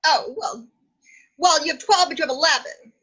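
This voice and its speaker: High bright woman asking a question. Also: normal speed, dull audio. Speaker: audience member asking a question. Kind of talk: casual question on a call.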